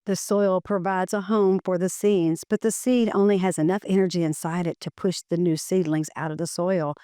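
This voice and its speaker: A woman reads a children's educational picture book aloud in measured, deliberate phrasing. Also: on the low side, fairly even intonation, warm tone, clear, resonant tone, no echo, clean studio sound.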